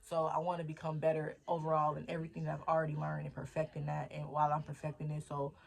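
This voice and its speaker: Gravelly-voiced young woman rambling in a vlog. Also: sits low, completely flat delivery, quick pace. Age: 20s. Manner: rambling, thinking aloud, self-motivating. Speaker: vlogger. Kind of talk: casual diary vlog monologue.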